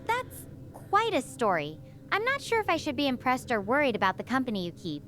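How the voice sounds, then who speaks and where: A woman's voice: high voice, big pitch swings, a little fast, a bit bright, a little hiss or hum. A synthetic woman's voice playing a stranded young space researcher, chatty and full of questions. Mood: curious. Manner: chatty, curious, asks many questions. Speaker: astrophysics researcher.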